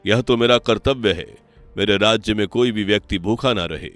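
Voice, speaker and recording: A man voicing a kindly, dutiful middle-aged king and father in an animated story, courteous and regal. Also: lowish voice, normal speed, dry recording, soft background music, natural voice. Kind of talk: animated moral story voice acting.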